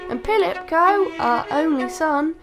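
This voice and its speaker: A woman's voice: extremely high pitch, animated, measured pace, over music, voice pushed up, exaggerated voice. A woman voicing a crafty, devoted male cat in a folk tale, projecting singsong rhymes. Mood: luring, singsong.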